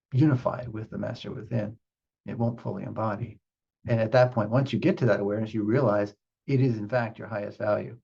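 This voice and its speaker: Gravelly-voiced male meditation teacher, slightly muffled. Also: lowish voice, a little mellow. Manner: halting, reflective, explanatory. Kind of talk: unscripted spiritual teaching with group questions.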